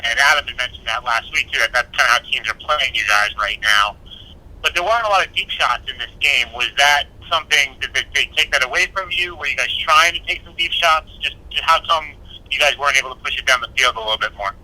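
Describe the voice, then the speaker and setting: Very bright, sharp-voiced male reporter. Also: mid-range voice, quick pace, rough recording. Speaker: sports reporter. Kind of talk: asking questions on a press call.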